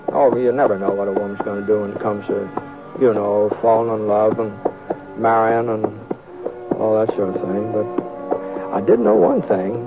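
A man's voice: mid-range voice, lightly acted. An actor playing a plainspoken, folksy American cowboy lawman who narrates an old radio western. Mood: wry, reflective. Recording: tinny vintage radio with music.

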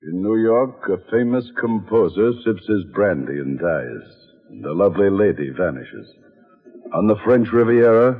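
A man announcing a vintage radio mystery in a suspenseful, portentous manner. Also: lowish voice. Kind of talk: dramatic 1950s radio mystery introduction. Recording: tinny vintage radio, long reverb.

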